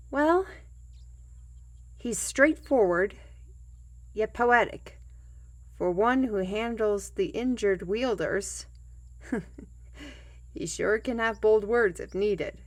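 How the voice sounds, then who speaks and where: A woman's voice: mid-range voice, expressive, extremely slow, long pauses. A woman playing a humble, plain-spoken fantasy trail guide and storyteller in an audio roleplay, with folksy, old-fashioned and hesitant phrasing. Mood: fond, wry. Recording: music bed behind the voice.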